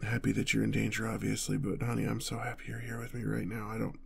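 A man's voice: lowish voice, completely flat delivery, quick pace, creaky, a little hiss or hum. A man playing a protective, anxious boyfriend on the run, stammering and tender, in an intimate audio roleplay. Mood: relieved, affectionate. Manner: stammering, urgent, tender reassurance. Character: panicked, protective boyfriend fleeing a gunman with his partner.

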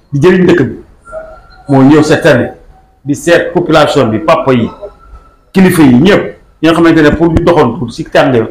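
An older man with a Senegalese accent making a fast, emphatic promotional appeal to camera in a small office. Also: a little high. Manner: emphatic, rising into quick excited bursts. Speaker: club supporter speaking to camera. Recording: echoey room with faint background noise.

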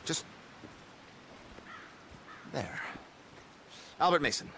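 An actor playing a genteel, nervous wildlife photographer in a game, fussy and self-deprecating in manner. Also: male voice, very slow, bright, forceful tone, slight background noise. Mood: chatty, wry. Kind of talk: dramatic game cutscene acting.

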